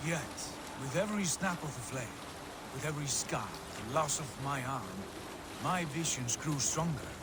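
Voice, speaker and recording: A man's voice: medium pitch, animated, moderate pace, heavy background noise, close to an everyday voice. A man playing a proud, visionary Viking warrior in a video game, speaking in solemn, grandiose, archaic phrases. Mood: proud, musing. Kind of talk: dramatic video game cutscene acting. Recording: music behind the voice.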